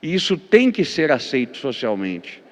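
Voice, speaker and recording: A man's voice: mid-range voice, very animated, normal speed, big-room sound. A Brazilian man with a Minas Gerais accent, a pastor giving a lecture-style teaching, hesitating with fillers and repeated words, then driving his points home with emphatic lists. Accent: Brazilian Portuguese, Minas Gerais.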